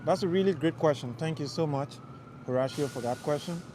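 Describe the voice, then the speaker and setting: A man's voice: a little high, fairly quick. A young man from Liberia, casually chatting with viewers of his live stream while walking city streets at night, rambling and answering their questions. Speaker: livestream host. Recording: faint street and traffic noise. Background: faint traffic noise.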